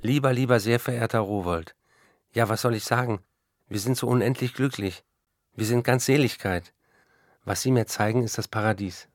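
A man's voice: medium pitch, moderate pace, no echo, studio quality, lightly acted. An actor playing a struggling, earnest writer reading his letters in a radio drama. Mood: overjoyed. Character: struggling male writer pleading earnestly for work.

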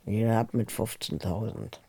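A woman's voice: a bass voice, normal speed, gravelly, warm tone, breathy edge, no echo, exaggerated voice. An actress playing an ailing, stubborn old village farm woman, weak and muttering, in a naturalistic radio drama. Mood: querulous, weary. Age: older. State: weak, bedridden.

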